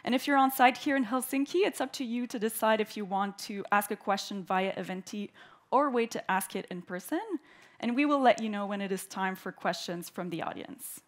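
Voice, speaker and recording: A woman from Canada giving the formal welcome speech at a conference, clear and orderly as she reads prepared remarks into a stage microphone. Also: mid-range voice, a little fast. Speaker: event host, opening speaker. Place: conference hall.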